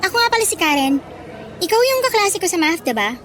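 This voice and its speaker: A woman's voice: extremely high pitch, sing-song, fast talker, a bit bright, slight background noise, heavily acted. A woman with a Filipino accent playing a bubbly, friendly college classmate in a radio drama, perky and outgoing. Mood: perky greeting.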